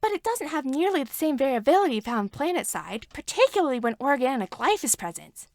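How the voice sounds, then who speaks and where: Woman playing an eager, high-pitched nerdy inventor woman. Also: brisk, dry recording, studio quality. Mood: excited, nerdy. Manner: animated, informal, enthusiastic talk.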